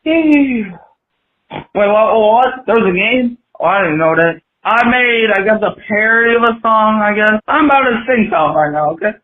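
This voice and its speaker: A man's voice: high voice, lively intonation, moderate pace, narrow, tinny audio. A man leaving a joking, rambling fan voicemail, blunt and offhand. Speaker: voicemail caller. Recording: roomy voicemail audio.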